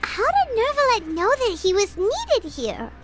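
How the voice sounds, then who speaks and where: Paimon, squeaky excitable tiny companion. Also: female voice, unhurried, heavily acted. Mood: curious. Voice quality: squeaky, childlike. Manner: chirpy, refers to self in third person. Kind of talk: video game voice acting. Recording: loud game music behind the voice.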